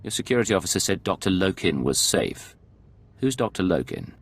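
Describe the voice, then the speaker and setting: Deep, gravelly, cool secret agent. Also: male voice, very animated, fairly quick, heavily acted. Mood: controlled, businesslike. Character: cool, cynical male imperial secret agent. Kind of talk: video game voice acting.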